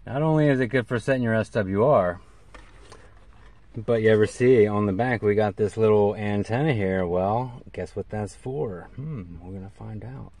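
A middle-aged man giving a casual, hands-on how-to in a halting way, recorded on a handheld camera outdoors by a vehicle. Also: lowish voice, darkish tone. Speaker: CB radio hobbyist presenter. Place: outdoors in and beside a parked vehicle in an open field. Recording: clear background noise, outdoor ambience.

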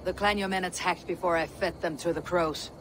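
A woman's voice: on the low side, fairly even intonation, fairly quick, fairly bright tone, a little hiss or hum, lightly acted. An actress playing a tough, steely Viking warrior woman in a video game, terse and assertive. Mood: steely, resolute. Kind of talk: video game cutscene acting.

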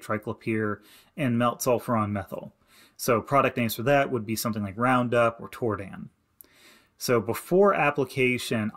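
A man narrating a slideshow lesson as an extension educator, giving plain, practical advice in a steady instructive way. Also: mid-range voice. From Indiana. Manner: plain, practical and advising, with many "you need to" instructions. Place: voice-over to slides.

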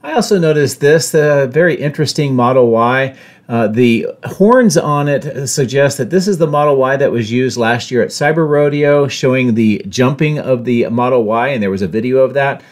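A man in his fifties giving a measured, matter-of-fact explanatory narration as a drone vlogger. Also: medium pitch. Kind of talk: explanatory site-update narration.

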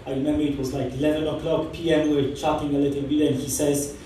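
A man's voice: fairly high pitch, level tone, fast talker, warm tone, a little hiss or hum. A priest in his forties from Poland with a Polish accent, preaching an informal, chatty homily full of anecdotes and laughs. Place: large church hall. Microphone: far camera across the church. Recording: echoey church from far off.

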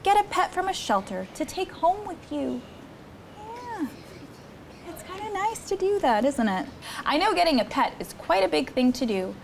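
A young woman hosting a children's story time, reading aloud in an expressive, sing-song way and chatting with the kids. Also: very high-pitched, moderate pace, vocal fry, a little hiss or hum. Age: young adult. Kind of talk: animated read-aloud to children, with chat. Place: indoor studio set with children seated on a rug.

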